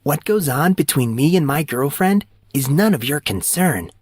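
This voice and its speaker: A man's voice: on the high side, lively intonation, moderate pace, breathy edge, no echo, studio quality, voice pushed up. A man voicing an arrogant, sneering teenage bully from a privileged family in an audiobook. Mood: defiant. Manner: haughty, contemptuous.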